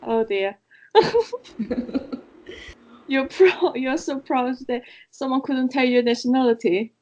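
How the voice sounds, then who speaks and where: A woman's voice: high-pitched, slow, darkish tone. A woman from Korea, co-hosting a casual podcast and telling stories with frequent laughs. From South Korea. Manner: chatty storyteller who laughs often. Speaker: podcast co-host. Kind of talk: casual chat. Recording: slightly dull lo-fi sound.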